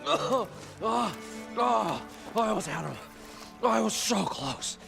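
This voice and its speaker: A man's voice: high voice, animated, slow, gravelly, exaggerated voice. A man playing an eager, proud, stubborn inventor in a video game cutscene, with a boastful, theatrical manner. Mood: dismayed, stubborn. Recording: loud music behind the voice. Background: music.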